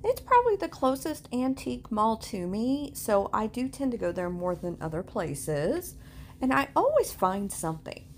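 A woman's voice: fairly high pitch, very animated, normal speed. A woman giving a casual, chatty shopping vlog voice-over in an informal way. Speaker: vlogger and antiques reseller. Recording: clear background noise.

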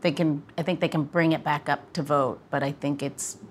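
A woman's voice: low-pitched, fairly even intonation, moderate pace. An American woman hosting a podcast, chatting casually and asking questions. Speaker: podcast host.

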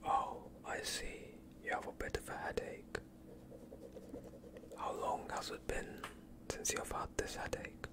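A young man playing a polite vampire doctor in an ASMR roleplay, soothing and evasive with a sinister undertone, speaking in a hushed voice close to the mic. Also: natural voice. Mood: attentive, soothing. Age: young adult. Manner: hushed, slow, courteous, evasive. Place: quiet indoor studio, dark backdrop. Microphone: close off-screen microphone.

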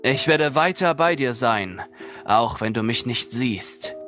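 A man's voice: medium pitch, animated, takes it slowly, fairly bright tone, soft background music. A man hosting a livestream, moving between casual chat and narration of horror stories. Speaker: livestream host and horror story reader.

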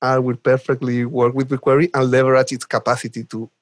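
A man's voice: medium pitch, level tone, fast, no echo. A man from Chile, a podcast guest, explaining technology conversationally and enthusiastically with vivid examples. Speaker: podcast guest, cloud developer advocate.

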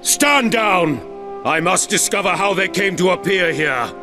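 A man's voice: high-pitched, expressive, a little slow, over music. An actor playing an imperious, suspicious emperor in a fantasy game, blustering and formal in his commands. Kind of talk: fantasy game cutscene acting.

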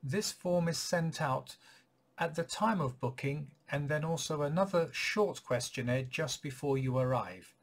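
A man in his forties, a clinic practitioner, giving patients an informational update in a measured, polite, slightly husky voice. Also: fairly high pitch. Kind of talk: informational announcement to camera. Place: small home office or study, indoors.

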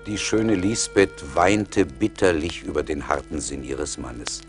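A man's voice: a bass voice, unhurried, faint music behind. A man narrating a fairy tale as a scripted storyteller in a radio play.

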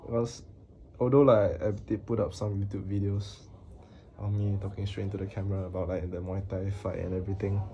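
A young man talking to his camera in a small room, with a halting, hesitant manner, flat monotone delivery, and many pauses and fillers. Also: low voice. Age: young adult. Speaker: vlogger. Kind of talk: casual talk to camera.

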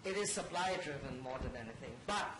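A woman on a conference panel giving a measured, articulate answer into a handheld microphone. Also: sits low, expressive. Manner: articulate, measured, says she has a loud voice. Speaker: panelist from an international organization. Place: conference room, seated on stage. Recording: roomy hall with background noise.

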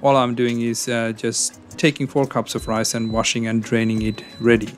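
A man's voice: mid-range voice, level tone, moderate pace, faint music behind. A man narrating his cooking video in a casual, hesitant way, with many ums and uhs. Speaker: cooking channel host.

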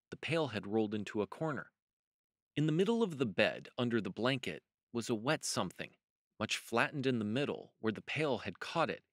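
A man reads a children's storybook aloud with measured, expressive phrasing. His voice sits at a middle pitch, close on the mic with no room echo. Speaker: storyteller, host.

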